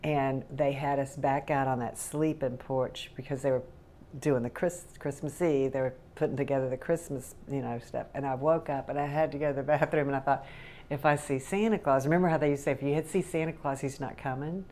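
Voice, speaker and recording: Chatty woman with low voice. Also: fairly even intonation, a little fast, warm tone, slight background noise. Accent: faint Southern US. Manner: rambling, chatty, laughs often. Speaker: interviewee. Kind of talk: casual recollection. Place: home kitchen, indoors.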